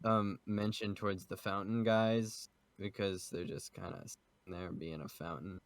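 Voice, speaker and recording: A man's voice: low-pitched, fairly even intonation, a little slow, no room sound. A man chatting casually as a podcast host, joking, swearing and rambling as he goes. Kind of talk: casual group chat about a manga.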